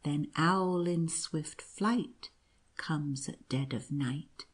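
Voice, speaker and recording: A woman voicing an odd old godfather who chants a monotonous rhyme in a hushed, grating way. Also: deep voice, very slow, slightly rough, heavily acted. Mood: eerie chanting.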